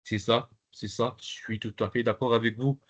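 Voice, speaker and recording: A man from the Comoros whose first language is Arabic, teaching an online class in a halting way, with many fillers and repeated words. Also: medium pitch, level tone. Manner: repetitive, halting, full of fillers. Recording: dull lo-fi online call audio.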